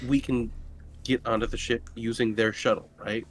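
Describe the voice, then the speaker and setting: A man's voice: mid-range voice, little pitch movement, normal speed, slight background noise. A man playing a tabletop roleplaying game, chatting casually and slangily as he describes his moves. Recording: music playing behind.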